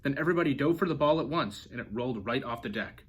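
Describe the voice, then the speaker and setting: A man reading a children's storybook aloud as an expressive narrator. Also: medium pitch, fast talker, slightly rough. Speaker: storybook reader.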